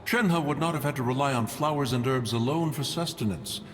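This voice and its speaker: An actor playing a proud immortal sage in a video game, speaking in lofty, archaic phrases. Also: male voice, medium pitch, a little fast, a little hiss or hum, natural voice. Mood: haughty, formal. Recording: long hall reverb. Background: music.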